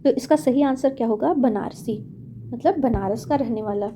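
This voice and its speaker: A woman teaching exam-prep grammar, going through questions step by step in a patient way, repeating points and pausing on thinking fillers. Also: fairly high pitch, mellow, a little hiss or hum.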